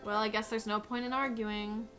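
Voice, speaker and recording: An easygoing teenage schoolboy from a visual novel game, read by a woman in her own voice. The voice is somewhat high and bright, with game music faintly behind. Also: little pitch movement, moderate pace, close to an everyday voice. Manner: reads in her normal voice. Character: easygoing teenage boy club member.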